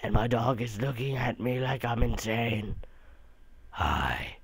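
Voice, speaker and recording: A man's voice: medium pitch, expressive, slow pace, husky, no room sound. A young man giving a casual, rambling movie review, sounding a bit nasal from allergies, with many restarts.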